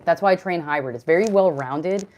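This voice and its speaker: Deep-voiced young woman, casual fitness streamer, loose and talkative. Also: brisk, highs cut off. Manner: chatty, rambling, reads chat comments aloud. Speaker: fitness livestream host. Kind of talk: casual livestream chat.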